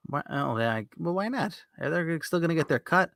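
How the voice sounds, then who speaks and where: A man's voice: fairly high pitch, lively intonation, brisk, gravelly, fairly bright tone, no room sound, clean studio sound. A man co-hosting a podcast in casual chat, talking in an informal, conversational way. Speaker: podcast co-host.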